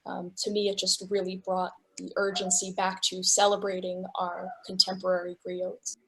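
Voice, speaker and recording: A woman's voice: lowish voice, completely flat delivery, normal speed, pushed and bright, low-quality recording. A woman explaining her thoughts in a reflective, articulate way during a book club discussion. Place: virtual discussion video call.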